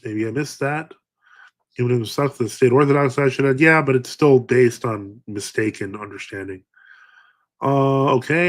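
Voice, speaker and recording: A man, addressed as a priest, hosting a live stream, reading chat comments aloud and answering them with frequent fillers and restarts. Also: medium pitch. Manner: hesitant, many fillers and restarts.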